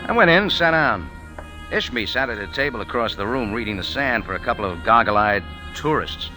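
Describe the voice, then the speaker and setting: A man's voice: mid-range voice, animated, fairly quick, close to an everyday voice. An actor playing a wry, tough American cafe owner and sleuth, telling his story in punchy hardboiled radio-drama style. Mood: wry, watchful. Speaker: lead character and narrator. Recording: old radio recording with background music.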